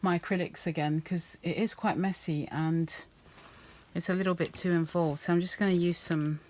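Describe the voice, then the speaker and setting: A woman with a low voice talks softly while she crafts, drifting through thoughts with ums and restarts. Her voice sounds a little croaky. A faint hiss sits under the recording, with quiet pen scratches. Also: fairly even intonation, normal speed. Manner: rambling, soft-spoken, many ums and restarts. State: slightly croaky voice. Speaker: art journaling vlogger. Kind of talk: casual craft-along chat.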